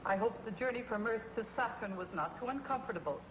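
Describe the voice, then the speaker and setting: A woman's voice: a little high, expressive, fast talker, background noise, natural voice. Zyderine, a woman playing a haughty witch villain. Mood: silkily menacing. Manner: commanding, haughty, precise diction. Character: scheming, cold female witch villain ruling captives. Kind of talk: 1950s TV drama acting. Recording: dull 1950s TV soundtrack with loud music.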